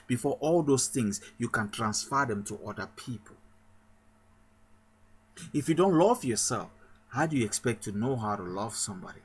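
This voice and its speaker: A man's voice: mid-range voice, fairly bright tone, breathy edge. A man from Cameroon, a pastor, giving an emphatic motivational sermon with a repetitive rallying cadence.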